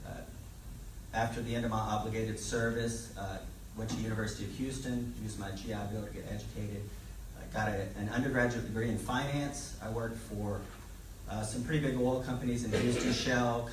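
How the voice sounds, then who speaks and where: A middle-aged man introduces himself as a candidate at a public forum, plainly and informally, pausing with filler words. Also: fairly low pitch, fairly even intonation. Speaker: political candidate. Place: public meeting hall, indoors. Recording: echoey room, distant, noisy.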